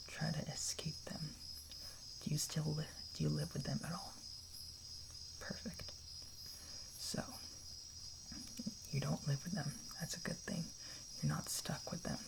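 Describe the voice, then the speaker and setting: A man playing a kind, protective camper in an ASMR roleplay, speaking in a soft, breathy half-whisper with a hesitant, reassuring manner. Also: a little high, exaggerated voice, rough recording. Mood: concerned, hesitant. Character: kind, protective young male camper comforting a stranger.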